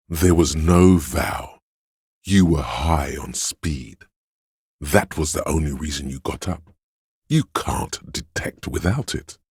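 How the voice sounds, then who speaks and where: An actor voicing a seductive, hedonistic inner voice of addiction and lust in a video game, savoring words in a husky, insinuating way. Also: male voice, darkish tone, no room sound, studio quality, exaggerated voice. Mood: urging, craving. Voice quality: deep, gravelly, husky. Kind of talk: video game character narration.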